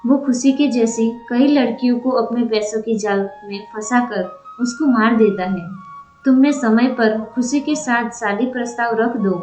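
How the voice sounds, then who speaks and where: A woman's voice: a little high, unhurried, a little mellow, echoey room, faint music behind, natural voice, poor audio. A woman playing a grave, centuries-old female spirit who gives warnings in a cartoon story. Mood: urgent, insistent. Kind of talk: Hindi cartoon story dialogue.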